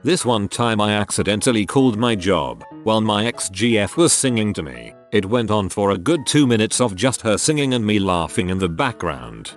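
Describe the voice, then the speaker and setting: A man with a fairly low voice reads short anecdotes one after another in a steady, scripted narration. His delivery stays even from line to line. Faint music plays quietly behind him throughout. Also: moderate pace, hardly any pauses. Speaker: narrator reading online stories.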